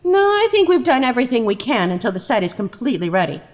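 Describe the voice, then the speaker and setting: A woman's voice: fairly high pitch, very animated, fast talker. A woman playing an encouraging, focused drama director in audio drama dialogue. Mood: encouraging, brisk.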